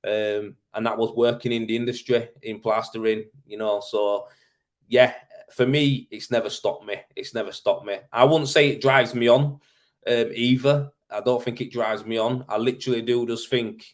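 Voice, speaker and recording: A man's voice: mid-range voice, steady tone, normal speed. Matey Yorkshire man chatting casually. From Leeds, Yorkshire, England. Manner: informal, matey, colloquial, thinking aloud. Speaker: live show host, tradesman in plastering. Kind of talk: casual livestream chat, answering viewer questions.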